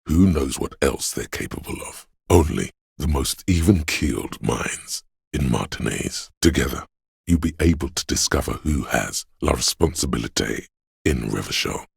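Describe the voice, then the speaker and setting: An extremely deep, husky inner voice narrates a video game with grave compassion. The delivery is measured, solemn and knowing, recorded close and dry. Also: male voice, lively intonation, no echo, heavily acted. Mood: measured, admonishing.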